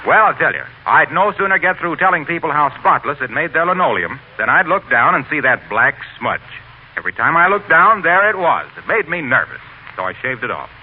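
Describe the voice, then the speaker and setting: Quick eager pitchman guy, friendly, kinda high, old radio announcer, thin sound. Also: sing-song. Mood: eager, genial. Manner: brisk, salesmanlike, genial. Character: smooth, eager salesman friend, cheerfully plugging floor polish. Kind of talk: 1940s radio announcer acting. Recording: tinny, faintly noisy 1940s radio recording.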